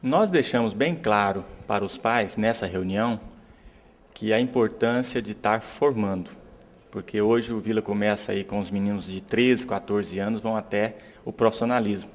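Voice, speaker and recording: A man's voice: on the low side, normal speed. A man in his thirties, a youth football club official, speaking earnestly and explaining at length into a handheld mic in an indoor club room. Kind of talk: interview statement. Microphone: handheld interviewer mic.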